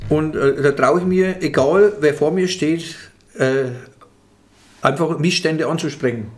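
A man's voice: a little high, a little slow. An older man with a Franconian accent, chatting as a comedian guest in a small office room, with lots of restarts as he talks. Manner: chatty, lots of restarts, gestures while talking. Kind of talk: casual interview chat. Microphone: table microphone.

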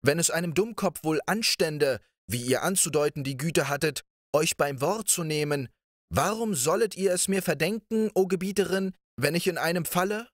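A man voicing a witty, ugly young prince who pleads emphatically with a high, lively voice. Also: no room sound, clean studio sound, lightly acted.

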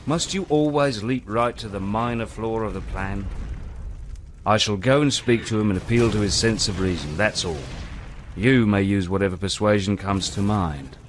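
An actor playing a sly, flippant rogue pirate, droll and wordy, in a video game. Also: male voice, on the low side, normal speed, slight background noise, natural voice. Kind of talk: video game cutscene acting.

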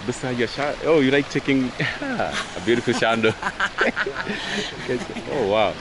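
A man's voice: medium pitch, slow, crowd noise, low-quality recording. A young man from Kenya, chatting casually as he films himself walking outdoors, with lots of "yeah" and "wow". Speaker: vlogger. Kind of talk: casual travel vlog chat. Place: outdoors on a forest trail. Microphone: handheld camera at arm's length. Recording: outdoor camera audio with steady background noise.

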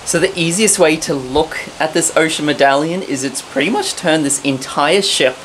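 A man's voice: a little high, normal speed, fairly bright tone, a little hiss or hum, low-quality recording. A young man vlogging a cruise ship tour, filmed at arm's length on camera in an upbeat, chatty guide manner. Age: young adult. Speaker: travel vlogger. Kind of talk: casual travel vlog tour. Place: indoor areas of a cruise ship. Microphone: camera held at arm's length.

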